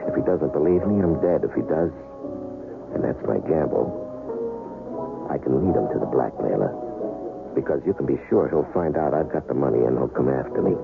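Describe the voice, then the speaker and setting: An actor playing a cool, calculating go-between in a blackmail racket, speaking gravelly and deliberately in a vintage radio drama. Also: male voice, a bass voice, lively intonation, full character voice. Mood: calm, persuasive. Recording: muffled old radio recording with music.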